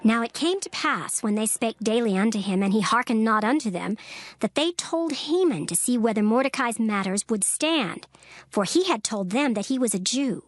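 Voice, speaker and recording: A woman narrator reading dramatized scripture in a measured, formal storytelling manner. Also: on the high side, lively intonation, dry recording, studio quality.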